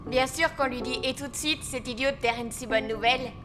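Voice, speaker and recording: A woman's voice: high voice, fairly quick, noisy background, close to an everyday voice. A woman voicing a direct, confident teenage schoolgirl in a cartoon. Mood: assertive. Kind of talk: animated series dubbing. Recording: music behind.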